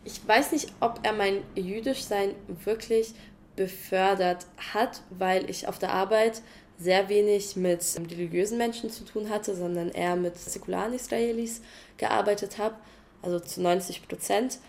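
A young woman answers interview questions and thinks out loud as she goes. She sounds hesitant and reflective, and she qualifies her points. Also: medium pitch, fairly even intonation, moderate pace.

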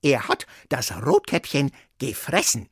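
Wildly animated sailor sidekick. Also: male voice, medium pitch, unhurried, husky, dry recording, clean studio sound, exaggerated voice. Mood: explaining. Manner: hesitant, earnest explaining. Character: earnest, easily exasperated male sailor sidekick telling a fairy tale. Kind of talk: comic radio dialogue storytelling.

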